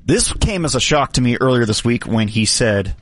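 Brief: male radio host in a studio, teasing and mock-formal, pitch swings widely, faint music under him. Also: lively intonation, normal speed. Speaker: radio sports talk host. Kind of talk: bantering radio talk segment.

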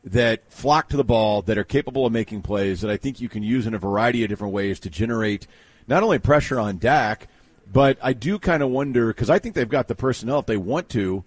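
A man giving football analysis in a measured, analytical way. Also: medium pitch, breathy edge, dry recording. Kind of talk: sports analysis.

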